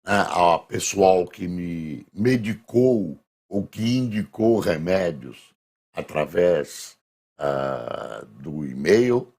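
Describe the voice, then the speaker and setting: Brief: hoarse Brazilian male news commentator, deep gravelly voice, unhurried chatty delivery. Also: expressive, darkish tone. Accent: Brazilian Portuguese. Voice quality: gravelly, husky. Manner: conversational, reads headlines aloud slowly with emphasis. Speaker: news commentator reviewing front pages. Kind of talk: casual spoken press review.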